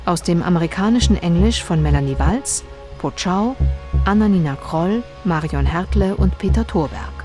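Eine Frau liest als Ansagerin Titel und Mitwirkende eines Hörspiels von einem Skript. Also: female voice, lowish voice, animated, moderate pace, warm tone, faint music behind, close to an everyday voice. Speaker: announcer reading title and credits. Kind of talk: scripted radio announcement.

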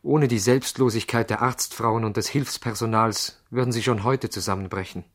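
A man's voice: medium pitch, fairly even intonation, normal speed, close to an everyday voice. A man playing an earnest, worried country doctor in a radio drama, formal and serious, with a Swiss accent. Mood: reflective. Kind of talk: German-language radio drama acting. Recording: slightly veiled 1970s radio drama sound.

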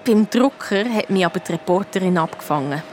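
A woman playing a clever, eager schoolgirl detective with a Swiss accent, telling her case in a lively, colloquial way in a children's radio play. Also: mid-range voice, a little fast, a little hiss or hum, lightly acted. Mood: excited, pleased.

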